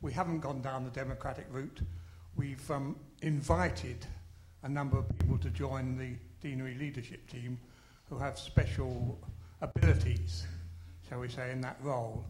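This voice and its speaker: A man at a church conference explaining his team's approach, animated and self-deprecating. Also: mid-range voice, moderate pace, few pauses, slight background noise. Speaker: conference delegate.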